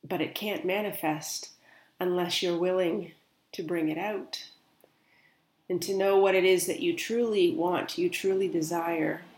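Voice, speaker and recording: A woman speaking as a spiritual medium, gently and unhurriedly relaying another's words in a guided meditation. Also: on the low side, fairly even intonation, unhurried, echoey room.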